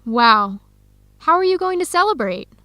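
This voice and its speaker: A young woman playing an earnest researcher searching for her birth family in an educational radio drama, speaking clearly and conversationally. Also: high voice, very animated, moderate pace, sharp tone, no room sound, studio quality, exaggerated voice. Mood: warm, upbeat. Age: young adult.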